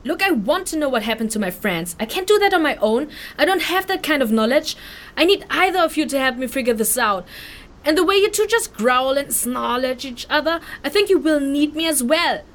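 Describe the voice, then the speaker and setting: Sassy, high-voiced, excitable young village girl. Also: brisk. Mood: pleading, exasperated. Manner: informal, exclamatory, protesting. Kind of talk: audio drama acting.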